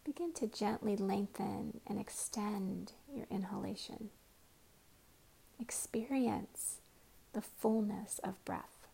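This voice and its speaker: Soft-voiced woman leading a prayerful body scan, breathy and slow, half whispering. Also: medium pitch, poor audio. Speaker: guided meditation teacher.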